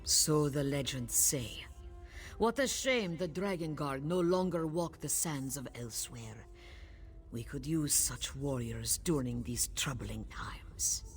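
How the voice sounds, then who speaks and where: A woman playing a grave, weary cat-folk villager in a fantasy game, speaking in a solemn, measured, dignified way. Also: rumbling low, lively intonation, soft background music, full character voice. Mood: solemn, wistful. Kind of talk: scripted fantasy game dialogue.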